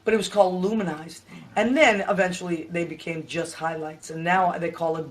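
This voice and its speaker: A hoarse woman in her fifties hosting a casual live chat from home, rambling and tired, talking into a webcam. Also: lowish voice, steady tone, a little fast. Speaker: livestream host. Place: home room with Christmas tree.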